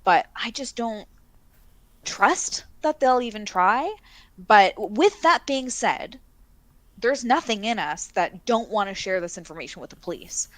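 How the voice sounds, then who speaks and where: A woman's voice: mid-range voice, lively intonation, measured pace, fairly bright tone, no echo. A woman in her thirties from Canada with a Canadian accent, co-hosting a live stream in casual chat, talkative with frequent fillers and laughter. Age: 30s. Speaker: co-host of a missing-persons livestream.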